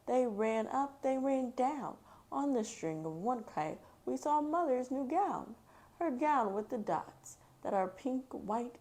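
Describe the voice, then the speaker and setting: A woman's voice: a little high, creaky, dark tone. A woman hosting a children's book read-aloud, mixing storybook narration with casual commentary. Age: adult mother.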